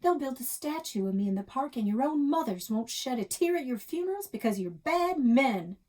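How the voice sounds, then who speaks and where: A woman's voice: high-pitched, animated, normal speed, darkish tone, voice pushed up. A woman storyteller voicing a tiny, devout, fearless mother who speaks firmly and defiantly in a read-aloud story. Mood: coolly threatening. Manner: firm, defiant, emphatic.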